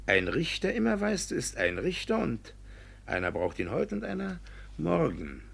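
A sly village judge in a classic radio drama. His voice is rough and husky, swinging widely in pitch. He blusters, dodges and stumbles over his words like a man hiding guilt. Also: huge pitch swings, moderate pace, a bit bright, exaggerated voice. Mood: coaxing, menacing. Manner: blustering, evasive, hesitant stammering. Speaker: actor in a radio play. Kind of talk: theatrical classic radio play acting.

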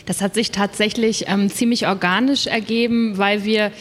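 A woman's voice: medium pitch, fairly even intonation, normal speed. Woman author chatting on a panel, mid voice, bit of hall reverb. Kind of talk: spontaneous panel talk.

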